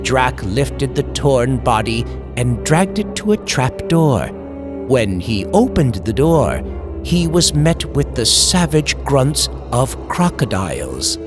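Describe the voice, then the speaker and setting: A man reading scripted horror in an old-time radio style, with dramatic, deliberate, suspenseful delivery. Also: mid-range voice, very animated, music in the background. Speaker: horror story narrator, channel host.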